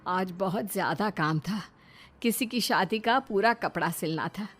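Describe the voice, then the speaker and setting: A woman's voice: mid-range voice, measured pace, fairly bright tone, breathy edge. A woman voicing a humble, hard-working middle-aged mother-in-law in a Hindi cartoon story. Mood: modest. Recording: music behind the voice.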